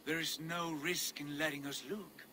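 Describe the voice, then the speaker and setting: A man's voice: fairly high pitch, steady tone, moderate pace, natural voice. An actor playing an imperious Viking lord convinced of his own divinity, with a grandiose, commanding manner in a dramatic video game. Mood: lofty. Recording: clear ambient noise behind.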